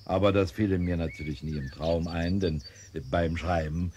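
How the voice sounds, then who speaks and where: A man's voice: low-pitched, fairly even intonation, moderate pace, a little mellow, a little hiss or hum, lightly acted. A man playing an easygoing, heavyset idler, leisurely and ironic, in a German radio play from around 1970. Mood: contented. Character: easygoing, teasing, heavyset man who loves idleness.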